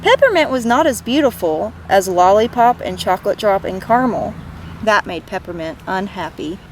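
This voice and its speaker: A woman reading a storybook aloud to children in an expressive, gentle way, as a read-aloud host. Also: mid-range voice, moderate pace, a little hiss or hum, low-quality recording.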